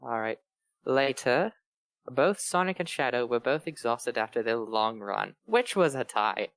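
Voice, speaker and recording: A man's voice: medium pitch, lively intonation, unhurried, fairly bright tone, dry recording. A man chatting and joking casually as one of a group reading fan fiction aloud. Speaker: reader on a bad fanfiction show.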